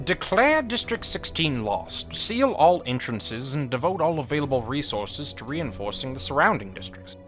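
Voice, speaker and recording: An actor playing a cold, cowardly bureaucratic supreme military leader in an audio drama, speaking in a haughty, dismissive way. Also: male voice, on the high side, big pitch swings, moderate pace, few pauses, a bit bright. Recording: music under the voice.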